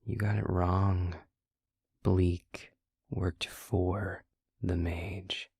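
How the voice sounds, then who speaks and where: A man playing a sarcastic, defiant male vampire outcast in an audio roleplay, grudging and belligerent, with a very raspy, half-whispered voice. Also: sits low, flat delivery, very slow, darkish tone, dry recording, clean studio sound, pitched above the performer's own voice, exaggerated voice. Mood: bitter, grudging. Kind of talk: acted fantasy audio roleplay.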